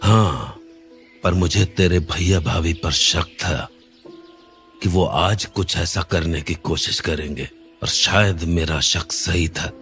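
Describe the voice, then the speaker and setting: A steady old uncle in a cartoon story, calm and wise, patiently explaining what happened, voiced by a man. His voice sits very low and deep, with faint music behind him. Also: slow, heavily acted. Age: older. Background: faint background music.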